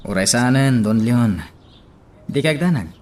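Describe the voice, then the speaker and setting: Actor as courteous, mid-pitched priest. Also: male voice, animated, measured pace, lightly acted. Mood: polite, reassuring. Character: courteous, reassuring male priest. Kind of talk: Ilocano radio drama acting.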